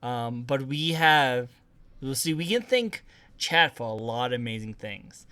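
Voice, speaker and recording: A man's voice: mid-range voice, lively intonation, unhurried, pushed and bright, clean tone, no echo. A man hosting an interview on a stream, bubbly and laughing often in a casual chat.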